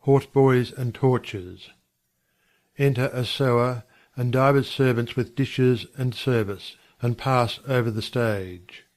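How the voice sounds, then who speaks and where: A man's voice: medium pitch, steady tone, takes it slowly. A man narrating stage directions in a scripted audiobook reading.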